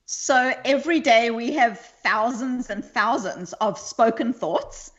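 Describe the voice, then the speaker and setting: Female guest on a video call, higher voice, muffled lo-fi line, easy banter, calm explaining. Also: slow pace. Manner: warm laughing banter, then calm explaining. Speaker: guest psychologist. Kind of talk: casual interview chat.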